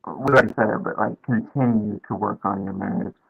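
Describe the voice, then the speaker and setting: A man's voice: fairly low pitch, a little fast, creaky, slightly rough. A man guesting on a podcast, speaking in a plain, matter-of-fact way in casual chat. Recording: tinny phone-like sound.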